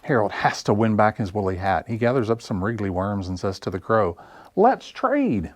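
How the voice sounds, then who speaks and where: A man reading picture books aloud for children in an expressive, deliberate storytelling style. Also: lowish voice. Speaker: library story time reader. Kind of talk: animated picture-book narration for children.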